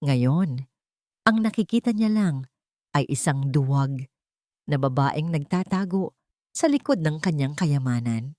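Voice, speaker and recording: Woman, story narrator, dramatic scripted reading, slightly low voice, dry sound. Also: expressive, unhurried, long pauses, warm tone, dry recording.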